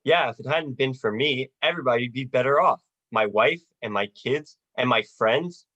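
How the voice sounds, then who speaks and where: A young performer playing a despairing, sardonic family man in a radio play, with halting, dry delivery. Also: medium pitch, a bit bright, close to an everyday voice. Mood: bitter despair. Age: teens or young adult. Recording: dull, lo-fi video call audio.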